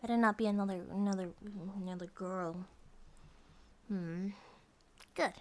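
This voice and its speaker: A woman's voice: medium pitch, fairly even intonation, a little slow, slight background noise, lightly acted. A woman voicing an affectionate, childlike and possessive grizzly bear monster-girl wife in an intimate ASMR roleplay, in a cutesy, rambling manner that sometimes drops toward a whisper. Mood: jealous, affectionate.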